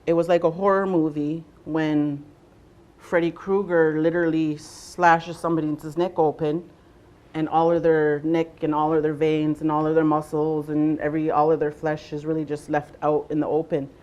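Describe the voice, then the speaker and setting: A young adult woman from Southwestern Ontario leading a workshop, speaking loudly to a room without a microphone and often pausing on "um" and "uh". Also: low voice, level tone, highs cut off. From Southwestern Ontario, Canada. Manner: loud voice, unamplified, frequent ums and uhs. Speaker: workshop facilitator, nurse navigator. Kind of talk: presentation to a workshop audience.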